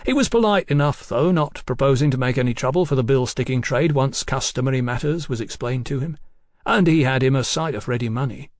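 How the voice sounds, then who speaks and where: A man's voice: mid-range voice, dry recording, studio quality, natural voice. A man voicing an easy-going, talkative working-class bill-sticking boss in an audiobook, with folksy, colloquial phrasing. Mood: chatty, unruffled. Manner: folksy, unhurried, colloquial, garrulous.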